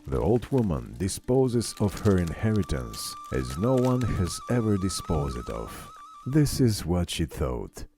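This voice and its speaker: A male storyteller narrating a fairy tale for children. His voice is on the low side and soft-edged, with very animated intonation and an unhurried pace.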